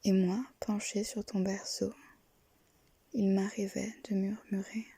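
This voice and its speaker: A woman reads a poem almost entirely in a breathy whisper, her voice dark and mellow. She keeps her delivery slow and even, with little rise and fall. The recording is close and dry, with no room sound. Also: medium pitch, dry recording. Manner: slow, hushed and intimate. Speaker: poetry reader. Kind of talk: whispered poetry reading.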